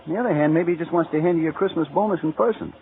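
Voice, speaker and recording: An actor playing a joshing, gossipy store clerk in an old radio drama, casual and slangy with an American accent. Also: male voice, a little high, racing, lightly acted. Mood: chummy. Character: joshing, gossipy male department store coworker. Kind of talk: 1940s radio acting. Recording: tinny, hissy vintage radio recording.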